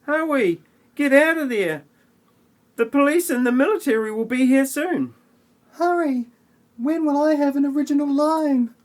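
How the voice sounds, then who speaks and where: Man as excitable child, very high squeaky voice, amateur audio play parody. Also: measured pace. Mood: urgent.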